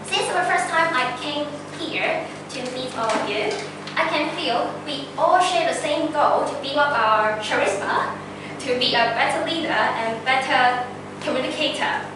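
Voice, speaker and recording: A woman's voice: high voice, a little slow. A young woman giving an earnest, carefully structured prepared speech to a club. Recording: echoey room, distant mic, noisy background.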